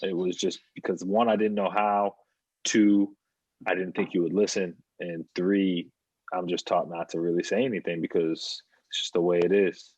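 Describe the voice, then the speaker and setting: Deep husky young man, calm and reflective. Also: normal speed, no room sound, low-quality recording. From New York. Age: young adult. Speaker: guest, professional baseball pitcher. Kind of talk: podcast conversation.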